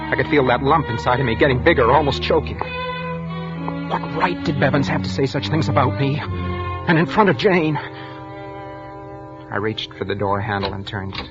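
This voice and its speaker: A man plays a touchy, hesitant bookkeeper in a vintage radio drama. His voice is medium in pitch and easily flustered. The sound is dull, old broadcast audio with music underneath. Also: animated, moderate pace, pauses often, lightly acted. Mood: brooding. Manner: hesitant, stammering, defensive. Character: meek, jittery, touchy male bookkeeper, henpecked daydreamer. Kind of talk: 1940s radio drama acting with first-person narration.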